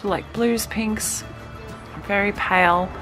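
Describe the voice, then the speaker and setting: A woman's voice: medium pitch, fairly even intonation, slow, music in the background, rough recording. A woman, chatting through a stationery unboxing, slightly nasal from a cold and cooing over small items. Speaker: vlogger unboxing a stationery subscription box. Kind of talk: casual unboxing chat.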